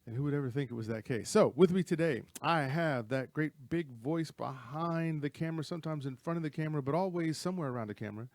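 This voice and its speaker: A man's voice: fairly high pitch, fast talker, hardly any pauses, no room sound. A man hosting a casual movie talk show from a studio, rambling and correcting himself as he goes, with frequent chuckles. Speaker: host. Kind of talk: casual podcast chat.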